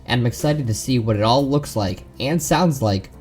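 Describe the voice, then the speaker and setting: A male podcast host with a mid-pitched voice talks in a casual interview style. His manner is enthusiastic and conversational. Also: normal speed, a little mellow, faint music behind.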